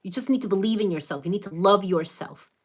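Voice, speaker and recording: A woman's voice: medium pitch, animated, fast talker, slightly rough. A woman with a Spanish accent, Spanish her first language, giving blunt, direct, motherly advice to viewers on a live stream.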